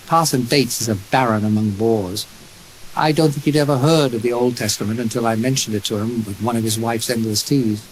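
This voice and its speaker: Man performing storybook old pal, middle-aged, urbane, teasing, knowing, medium pitch, hissy restored tape. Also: fairly even intonation, brisk, natural voice, rough recording. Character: Tommy, dapper, amused, teasing middle-aged old friend. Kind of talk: audiobook character dialogue. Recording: restored tape with clear hiss.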